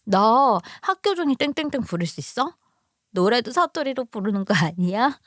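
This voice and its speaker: Woman playing a chatty, teasing teen schoolgirl, high and quick. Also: expressive, no room sound, studio quality, lightly acted. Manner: mocking, quick and nosy.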